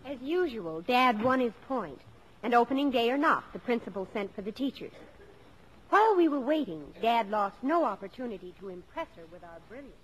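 A woman's voice: fairly high pitch, fairly quick, natural voice, poor audio. A young actress playing a spirited eldest daughter who tells her family's story in a 1950s radio play. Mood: wry, reminiscing. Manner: expressive storytelling. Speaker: radio actor. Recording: vintage 1950s radio recording with music.

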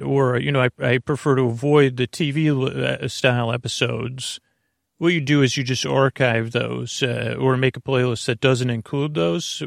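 A man hosting a sleep podcast in a rambling monologue, halting, repeating words and trailing off mid-thought, with a creaky voice. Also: medium pitch, no room sound, clean studio sound. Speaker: podcast host.

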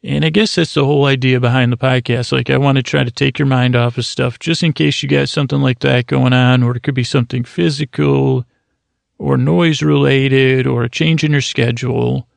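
Rambling gravelly man hosting a sleep podcast. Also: medium pitch, fairly even intonation, normal speed, dry recording. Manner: meandering, self-deprecating, full of restarts. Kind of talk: rambling monologue for falling asleep.

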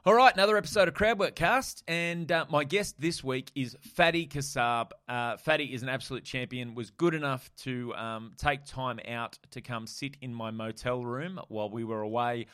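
A male comedian hosts his podcast in an easygoing, rambling way, with plenty of ums and uhs. His voice is mid-pitched and recorded close and dry. He banters loosely with his guest. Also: normal speed, few pauses, fairly bright tone, clean tone, dry recording. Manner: laid-back, filler-heavy banter. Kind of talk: casual podcast chat. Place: motel room.

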